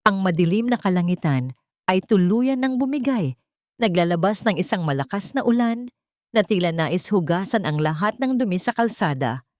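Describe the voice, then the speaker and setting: A woman's voice: lowish voice, a little mellow, no room sound, studio quality. A woman with a Filipino accent narrating a dramatic scripted story as a storyteller.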